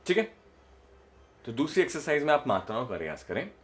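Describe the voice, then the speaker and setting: A man's voice: medium pitch, big pitch swings. A young man teaching voice modulation in a casual spoken lesson, explaining exercises patiently and demonstrating them himself. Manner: slow, explanatory, repeats a line on rising notes. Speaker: voice coach.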